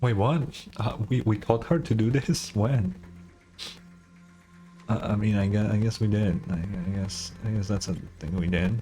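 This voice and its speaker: A man's voice: on the low side, normal speed, mellow. Casual male streamer over faint game music. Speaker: gaming streamer. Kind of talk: casual live reaction commentary over a game. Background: video game music.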